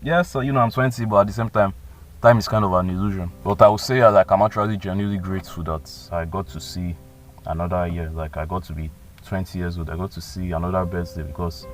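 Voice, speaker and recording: A man's voice: low voice, fairly even intonation, fast talker, faint music behind. A twenty-year-old man giving casual life advice in a rambling, slangy way, full of "like" and "you know". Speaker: vlogger.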